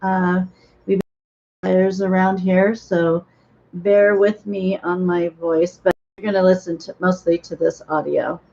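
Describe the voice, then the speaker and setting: A hoarse woman chatting casually and a bit scattered as she hosts a livestream from her home painting room. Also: lowish voice, steady tone, measured pace, dark tone. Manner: rambling, unprepared, chatty. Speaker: livestream host. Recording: dull lo-fi sound.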